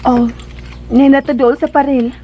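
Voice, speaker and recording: A woman's voice: sits high, fairly even intonation, unhurried, a little hiss or hum. A woman acting a timid, obedient young servant in a radio drama, with a Cebuano Filipino accent. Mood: hesitant, curious. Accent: Filipino, Cebuano-speaking. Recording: dull band-limited audio, loud music behind.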